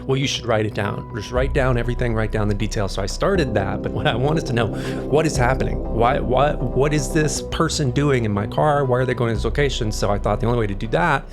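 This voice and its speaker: Guy playing a spooked male night driver, halting, unsettled, thriller podcast, music underneath. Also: mid-range voice, natural voice. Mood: nervous, confiding. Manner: halting, repeats words, unsettled. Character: haunted, anxious male rideshare driver recounting strange pickups. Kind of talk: naturalistic scripted thriller podcast acting.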